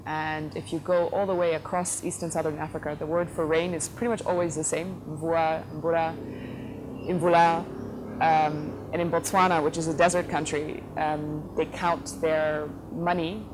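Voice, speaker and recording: Low, even-toned woman with many fillers. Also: moderate pace. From Netherlands. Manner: thoughtful, frequent fillers and self-corrections. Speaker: company CEO, interview guest. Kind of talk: relaxed conversational interview answers. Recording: noticeable background noise.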